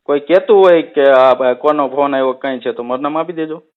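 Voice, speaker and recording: A man's voice: medium pitch, fairly quick, clean tone. A man with a rural Saurashtra Gujarati accent in a casual phone conversation, explaining things and often checking for agreement. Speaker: village head on a phone call. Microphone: telephone. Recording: tinny narrowband phone call recording.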